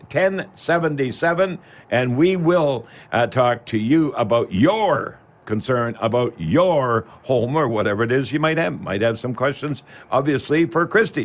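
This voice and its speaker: A Canadian man hosts a local radio talk show. His voice sits at a middle pitch, and he rambles along in folksy promotional patter, speaking directly to the audience.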